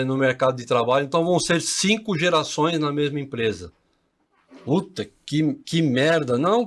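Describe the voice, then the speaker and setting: A man's voice: on the high side, a bit bright. A man hosting a podcast, introducing his guest in a casual, slangy way with frequent swearing and emphatic asides. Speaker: podcast host.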